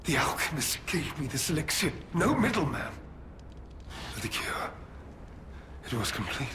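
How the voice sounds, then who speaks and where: An actor voicing a desperate, cowardly schemer in a video game, pleading in a halting, strained, breathy and melodramatic way. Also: male voice, a little high, big pitch swings, sharp tone, slight background noise, full character voice. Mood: disbelieving anguish. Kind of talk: video game character acting.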